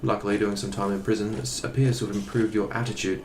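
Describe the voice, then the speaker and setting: A man acting a haughty, menacing Imperial officer in an audio drama, condescending and measured, with noisy ambience around him. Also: on the low side, fairly even intonation, reverberant, natural voice. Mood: scornful.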